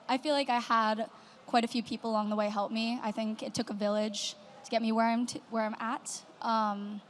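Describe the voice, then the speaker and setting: Higher-voiced young woman on a panel. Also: slight background noise. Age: young adult. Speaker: panelist, student. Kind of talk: panel answers. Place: conference stage.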